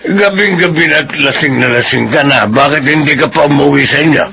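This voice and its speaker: An actor playing a patient, principled elderly retired soldier and kindly benefactor in a radio drama, with a gravelly voice. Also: male voice, a little high, brisk. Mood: coaxing. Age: older. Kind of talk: radio drama acting with narration. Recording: noisy radio drama recording.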